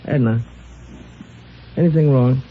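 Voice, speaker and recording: A man's voice: medium pitch, a little slow, close to an everyday voice. Man acting a stammering punch-drunk boxer, old noisy recording. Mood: hurt, puzzled. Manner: stammering, repeats words, rough ungrammatical street talk. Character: punch-drunk, down-on-his-luck boxer, earnest and wounded. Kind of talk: old-time radio drama acting. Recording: dull, noisy vintage radio recording.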